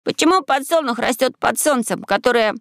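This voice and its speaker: A woman voicing an earnest, curious and bold seven-year-old boy in a storybook, asking clear, insistent questions. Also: high-pitched, expressive, a little fast, a bit bright, dry recording, studio quality, pitched above the performer's own voice, heavily acted. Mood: bold, ringing.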